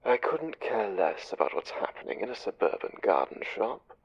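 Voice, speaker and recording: A conniving male angel who runs spies , acted by a man, low and gravelly in an audio drama. He gives orders with measured condescension and deliberate menace, his delivery swinging widely in pitch. The recording is dull and close. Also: very animated, no echo, exaggerated voice. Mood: cool, imperious. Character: conniving, smooth, commanding spymaster angel, male. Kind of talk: audio drama roleplay, one side of a conversation.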